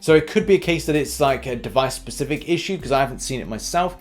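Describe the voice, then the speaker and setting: A man's voice: medium pitch, animated, quick pace. Man presenting informal news over music. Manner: informal, conversational. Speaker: host of a gaming news video. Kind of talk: video news commentary. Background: background music.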